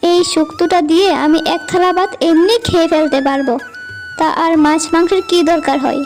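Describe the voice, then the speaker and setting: A woman voicing a gentle, caring young village housewife in a cartoon, with a soothing, attentive manner. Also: extremely high pitch, fairly quick. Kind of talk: cartoon dialogue acting. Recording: music bed behind voice.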